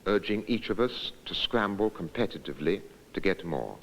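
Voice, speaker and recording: A man giving scripted documentary narration in a measured, deliberate, reflective way. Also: low-pitched, expressive, poor audio.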